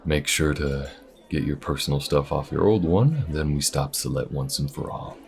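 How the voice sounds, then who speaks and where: Man acting as a very deep-voiced folksy space bounty hunter. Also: vocal fry. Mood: warm resolve. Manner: folksy, unhurried, reassuring. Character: folksy, loyal male space bounty hunter, steady and protective. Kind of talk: intimate audio roleplay acting.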